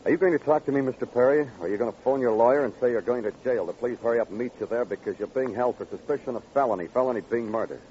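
An American actor playing a dogged, terse police detective with a clipped, probing manner in an old-time radio crime drama. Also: male voice, medium pitch, rapid-fire, few pauses, warm tone, natural voice. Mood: pressing. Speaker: lead actor. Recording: muffled, noisy vintage radio recording.

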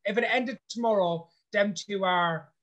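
A man talks in a casual interview, outspoken and blunt. He speaks with animated energy and swears freely. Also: sits high, unhurried, dull audio. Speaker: reality show contestant.

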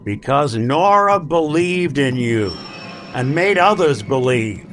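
A man's voice: on the high side, lively intonation, takes it slowly, fairly bright tone, soft background music. A man playing a weary android private detective in an old-time radio drama, earnest and hard-boiled as he reasons aloud. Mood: urgent.

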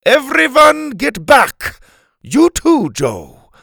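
Man putting on a high, bossy, scornful woman. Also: very animated, slow, creaky, a bit bright, no room sound, studio quality, exaggerated voice. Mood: commanding. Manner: commanding, clipped, formal wording. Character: bossy, impatient, scornful female camp onlooker.

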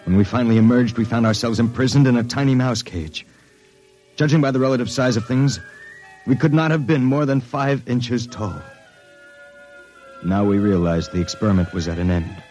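An actor in an old radio drama playing a grave man who narrates his own terrifying ordeal in a measured, ominous way. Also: medium pitch, a little mellow, faint music behind, natural voice, poor audio. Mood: grim, ominous.

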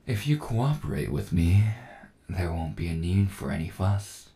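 A menacing cyborg villain, played by a man for an ASMR audio roleplay. The voice sits very low and crackles with heavy fry. Lines come out slowly, with a smug, condescending air of total control. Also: very animated, warm tone, exaggerated voice. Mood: calculating. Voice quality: low, gravelly. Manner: taunting, slow menace, chuckling condescension.

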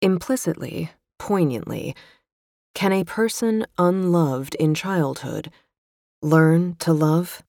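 A woman with a low, mellow voice reads a magazine feature aloud. Her delivery is measured and literary, and the recording is close and dry. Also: no room sound. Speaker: narrator reading a magazine feature. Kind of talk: scripted narration.

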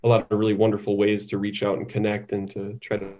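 A man speaking as an interview guest, thoughtful and halting, often repeating words as he talks conversationally. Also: lowish voice, completely flat delivery, highs cut off. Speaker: interview guest, psychiatric nurse practitioner.

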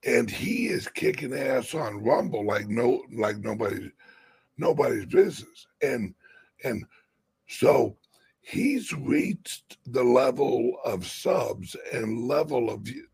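Slow raspy man chatting. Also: medium pitch, lively intonation, darkish tone. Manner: slow, rambling, drawn-out talk. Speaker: co-host. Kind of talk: casual livestream chat.

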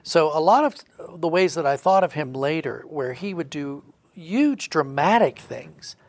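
Higher-voiced, creaky man in earnest discussion. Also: lively intonation, unhurried. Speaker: audio drama writer discussing his work. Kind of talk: conversational religious podcast discussion.

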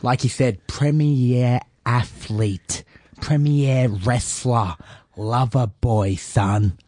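A man's voice: drawn-out words, dry recording, studio quality. A man talking as a boastful wrestler persona, brash and self-promoting, calling people son. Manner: brash, boastful heel persona, lively pitch. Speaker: wrestler guest in character.

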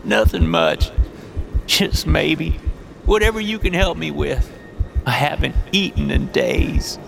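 A man voicing a worn-down homeless middle-aged man with a hoarse, weary, pleading voice. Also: on the high side, takes it slowly, background noise, voice pushed up, full character voice.

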